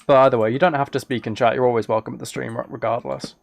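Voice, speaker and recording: Gravelly-edged man chatting on a livestream. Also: mid-range voice, fairly even intonation, rapid-fire. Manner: hesitant, thinking aloud in fragments. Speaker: live streamer. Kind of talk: casual livestream chat while playing a video game.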